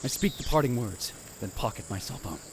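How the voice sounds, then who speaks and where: A man voicing a dramatic young self-styled mad scientist, narrating in the first person in a hushed, grave, theatrical way. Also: on the low side, lively intonation, brisk, background noise. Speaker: narrator and lead in fan dub. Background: music.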